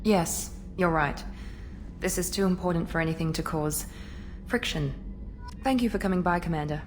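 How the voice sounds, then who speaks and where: A woman's voice: fairly low pitch, moderate pace, slight background noise, close to an everyday voice. A woman playing a proud, cool elite operative in a video game, frank and composed. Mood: softened. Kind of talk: video game character acting.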